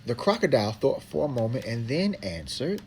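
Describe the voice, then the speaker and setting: Very low-voiced woman reading a story, animated. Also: fairly quick, a little hiss or hum. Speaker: narrator, reading partner to a child. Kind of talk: read-aloud storybook narration.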